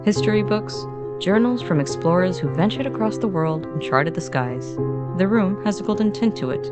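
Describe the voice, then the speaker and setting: A woman's voice: mid-range voice, lively intonation, moderate pace, loud music behind the voice. A woman co-narrating a fiction podcast in a scripted but conversational storytelling style, playful and informal with dry asides.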